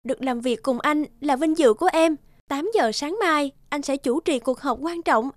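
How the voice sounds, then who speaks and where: A woman's voice: sits high, normal speed, dry recording, close to an everyday voice. An actress playing a poised, attentive young female corporate secretary in a cartoon drama, polite and efficient. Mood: composed. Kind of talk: animated drama dubbing.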